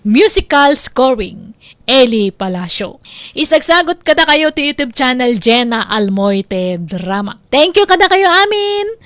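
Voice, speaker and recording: A woman's voice: high voice, sing-song, measured pace, fairly bright tone, dry recording, clean studio sound, soft background music. A woman with an Ilocano accent hosting a radio drama with a scripted introduction and greetings. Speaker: drama channel host.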